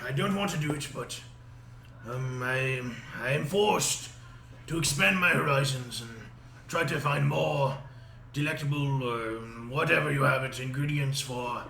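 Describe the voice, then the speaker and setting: A young man playing his character in a tabletop game, talking haltingly with many fillers, over an echoey call. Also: on the high side, creaky, sharp tone. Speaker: player voicing his character. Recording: echoey room with background noise.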